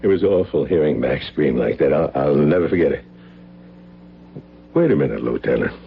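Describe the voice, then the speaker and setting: A man's voice: extremely low pitch, animated, moderate pace, creaky, slight background noise, exaggerated voice. A man acting a shaken, suspicious friend of a dead man in an old-time radio drama. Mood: agitated, suspicious. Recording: dull old radio recording.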